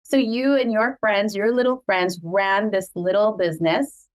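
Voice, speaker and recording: A woman's voice: medium pitch, fairly even intonation, a little slow, rough recording. A middle-aged woman whose first language is Cebuano, hosting a podcast in a chatty, proud way and laughing often. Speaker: podcast host. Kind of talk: casual family chat.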